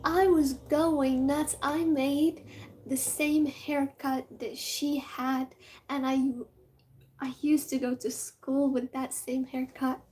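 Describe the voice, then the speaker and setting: Young moroccan woman, high voice, unhurried, excitable rambling, lots of "like", slips into whispers, moroccan accent. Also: slight background noise. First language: Amazigh (Tamazight). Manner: excitable, rambling, full of "like" fillers, drops into whispers. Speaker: vlogger. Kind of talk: casual rambling chat.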